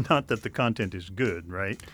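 A man playing an earnest, formally polite Victorian gentleman in a radio drama. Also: on the low side, animated, moderate pace, slightly rough. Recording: noisy night ambience with crickets.

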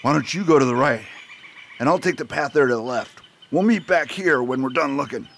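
A man playing a beleaguered but stubborn marshal in an audio western, with a creaky voice. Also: mid-range voice, fairly quick, fairly bright tone, slight background noise. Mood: groggy, resolute. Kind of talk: amateur radio western acting.

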